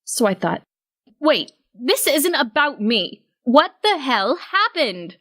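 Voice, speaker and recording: A woman acts out a young female cartoon pony musician for an audio story. She sounds high-pitched, fussing and exasperated. She insists on her point and scolds like a worried friend. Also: sing-song, slow pace, fairly bright tone, full character voice. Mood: flustered.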